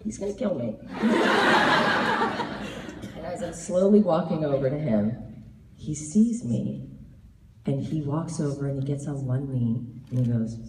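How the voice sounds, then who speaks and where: A woman in her thirties, slightly hoarse, answering fan questions at a convention panel with laughing, animated storytelling. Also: deep voice, measured pace, long pauses, heavy background noise. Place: large convention hall with audience. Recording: roomy hall recording from far off.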